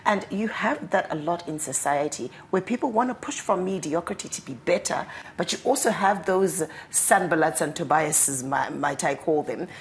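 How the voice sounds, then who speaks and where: Brief: lower-voiced woman host, chatty, probing, slight room hum. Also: lively intonation, normal speed, few pauses. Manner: chatty and probing, asks long winding questions. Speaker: talk show host. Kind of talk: studio interview.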